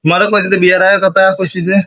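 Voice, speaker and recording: Quick, higher-pitched man, eastern Bengali dialect. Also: level tone, fairly bright tone, slight background noise. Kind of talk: casual teasing chat.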